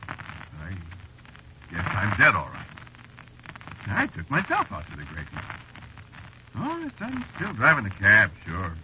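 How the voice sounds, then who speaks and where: An actor playing a working-class cab driver in a 1940s radio drama, with colloquial, ungrammatical speech. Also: male voice, lowish voice, huge pitch swings, slow pace, pauses often, heavily acted. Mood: eerily resigned. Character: frightened, pleading working-class cab driver, later eerily resigned. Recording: muffled, noisy old radio recording.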